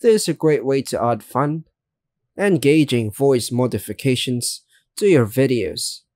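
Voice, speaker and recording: A man giving scripted how-to narration as a tutorial host, in a measured, step-by-step way. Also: mid-range voice, a little mellow, dry recording, clean studio sound.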